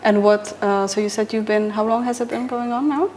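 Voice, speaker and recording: A woman's voice: on the high side, fairly quick, a loud crowd. A woman hosting an interview, asking short questions and giving frequent brief acknowledgments. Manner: brief prompts and steady backchannel. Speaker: podcast host.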